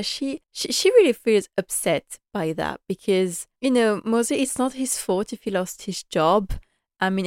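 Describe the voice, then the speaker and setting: A young woman recapping a drama series with casual, eager enthusiasm, stringing thoughts together with lots of "like" and "you know." Her voice sits a bit low, and the recording is close and dry with no room sound. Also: dry recording. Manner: chatty and enthusiastic, many "like" and "you know" fillers, laughs often. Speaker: podcast host recapping a TV drama.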